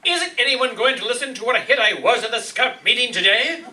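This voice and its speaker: A man's voice: extremely high pitch, lively intonation, brisk, pushed and bright, echoey room, full character voice. A man playing an earnest, self-pleased husband with an eager, polite manner in a radio comedy play. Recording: noisy, distant stage microphone.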